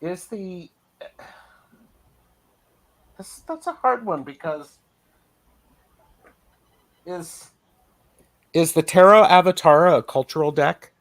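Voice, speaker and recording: A man's voice: high-pitched, animated, slow pace, long pauses, no echo, rough recording. A middle-aged man co-hosting a casual chat, telling stories with small acted asides. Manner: chatty, storytelling with acted asides. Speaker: co-host, tarot reader.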